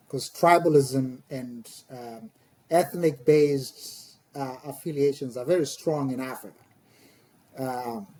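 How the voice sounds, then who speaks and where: A middle-aged man with a Tanzanian accent, speaking a second language in thoughtful interview talk, hesitant, with pauses and repeated words as he explains. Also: medium pitch, warm tone, slight background noise, rough recording. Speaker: podcast guest, scholar.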